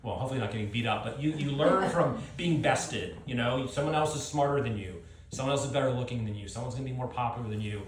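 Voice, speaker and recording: A middle-aged man speaks at a live author event in an echoey space. His pitch is average for a man, and he talks in a thoughtful, unscripted way, often qualifying and rewording as he goes. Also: fast talker, few pauses, background noise. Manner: reflective, hedging, circling back to rephrase. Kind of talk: thoughtful extemporaneous talk and audience Q&A. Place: live book event with an audience.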